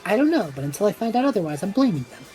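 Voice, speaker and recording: A woman's voice: mid-range voice, sing-song, very fast, warm tone, faint music behind, close to an everyday voice, rough recording. A woman playing an impulsive, chatty male traveller with a flippant, theatrical manner in an audio drama. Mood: exasperated.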